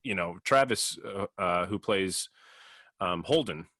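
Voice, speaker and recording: A man co-hosting a casual podcast discussion, speaking haltingly and often restarting his phrases. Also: low-pitched, pushed and bright, dry recording, studio quality. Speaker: podcast host and audio editor.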